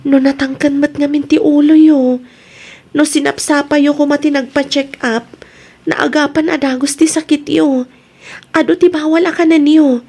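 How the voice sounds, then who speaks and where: A high-pitched female voice with an Ilocano accent, a woman acting it out plays a concerned younger relative in a radio drama. She nags and admonishes like someone anxious to be obeyed. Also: steady tone, normal speed, a little mellow. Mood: worried, scolding. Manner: nagging, admonishing.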